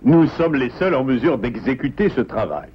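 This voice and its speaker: Man playing a grave father beaver, lively intonation. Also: mid-range voice, moderate pace, a little hiss or hum, close to an everyday voice. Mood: firm, resolute. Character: earnest adult male beaver, a responsible father-like leader. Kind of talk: French cartoon dubbing. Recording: muffled cartoon soundtrack, loud music.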